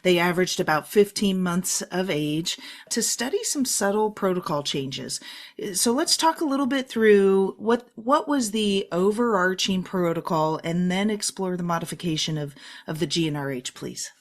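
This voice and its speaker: A woman's voice: lowish voice, animated, moderate pace. A woman hosting a podcast, giving prepared introductions and putting interview questions to a guest. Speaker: podcast host.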